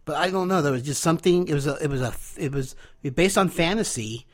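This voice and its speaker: A man in his thirties from California, a little congested, leaving an enthusiastic recorded comment for a podcast. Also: on the high side. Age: 30s. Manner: enthusiastic, nostalgic, with filler pauses. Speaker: caller leaving an audio comment. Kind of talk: casual recorded message.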